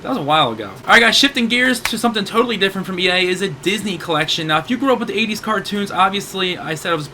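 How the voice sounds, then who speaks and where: A hoarse man in his thirties hosting a gaming channel, rambling through a casual game sale rundown and often correcting himself. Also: sits high, expressive, very fast, hardly any pauses, pushed and bright, slight background noise. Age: 30s. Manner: rambling, self-correcting, upbeat.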